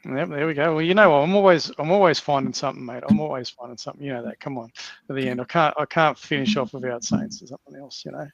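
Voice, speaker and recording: A man's voice: a little high, quick pace, creaky, no room sound, dull audio. An Australian man hosting a casual news chat over a video call, rambling and repeating himself, often calling his guest mate. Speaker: podcast host.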